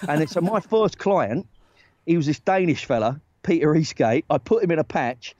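A man, a talent agent and guest on a podcast, telling stories in a loose, animated way with lots of "right?" tag questions. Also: a little high, normal speed, dry recording. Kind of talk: casual storytelling chat. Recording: dry, dull lo-fi sound.

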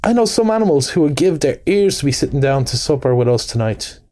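A man reading aloud voices a cheery, bustling male water rat who talks in a brisk, hearty, encouraging way. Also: fairly high pitch, big pitch swings, dark tone. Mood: teasing. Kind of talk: storybook reading.

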